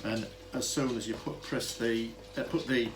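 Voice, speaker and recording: Man explaining haltingly, noisy recording. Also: mid-range voice, fairly even intonation. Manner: hesitant, rambling, self-interrupting explanation. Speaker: hobbyist demonstrator of vintage telephone equipment. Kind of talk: informal unscripted demonstration. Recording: clearly noisy amateur camera recording.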